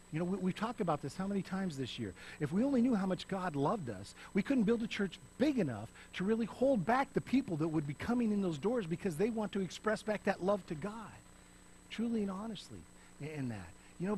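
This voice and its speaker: A middle-aged man preaching on a church stage, swinging between loud emphasis and soft, hushed passages. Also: high-pitched, a little hiss or hum, rough recording. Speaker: pastor. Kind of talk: sermon. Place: church stage, in front of a lit backdrop.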